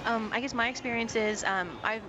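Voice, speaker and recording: A woman's voice: fairly high pitch, steady tone, fairly quick, noisy background. Hesitant Texan woman, muffled street recording. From Texas. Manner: hesitant, full of fillers. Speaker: panel guest. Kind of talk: casual discussion.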